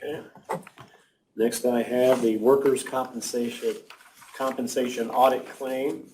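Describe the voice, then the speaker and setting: A man's voice: medium pitch, little pitch movement, unhurried, dark tone, slight background noise. A man presenting claims and dollar amounts at a county meeting, reading them out plainly. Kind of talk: public meeting business. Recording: mic at a distance.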